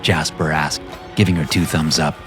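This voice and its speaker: Deep guy narrating a creepy story, steady and measured, music playing under it. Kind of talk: scripted horror story narration.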